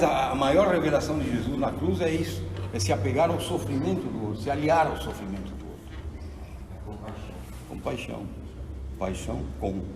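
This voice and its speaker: A man's voice: lowish voice, far from the mic, slight background noise. Man in his sixties lecturing in an echoey hall. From Argentina. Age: 60s. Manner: animated, preacherly storytelling. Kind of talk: theology lecture to an audience.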